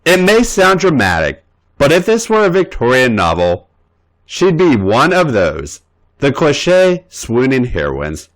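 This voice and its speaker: A man's voice: mid-range voice, wildly swooping pitch, unhurried, low-quality recording. A man reading erotic fan fiction aloud as a podcast host, playful and theatrical.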